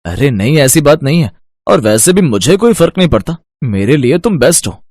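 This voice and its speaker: A man voicing an affectionate young husband in a cartoon story, gentle and reassuring. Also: mid-range voice, big pitch swings, fairly quick, dry recording, clean studio sound.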